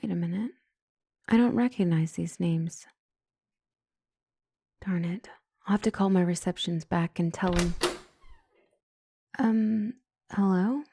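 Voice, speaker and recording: A woman's voice: medium pitch, dark tone, husky, dry recording. A woman playing a flustered, smitten couples counselor who stumbles and corrects herself in a comedic audio roleplay. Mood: weary, professional. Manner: stammering, awkwardly self-correcting. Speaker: doctor and counselor.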